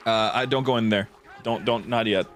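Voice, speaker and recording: A man's voice: on the low side, fairly even intonation, normal speed, fairly bright tone. Casual male game streamer over music. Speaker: stream host playing a modded shooter game. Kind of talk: casual gameplay stream chat. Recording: music behind the voice.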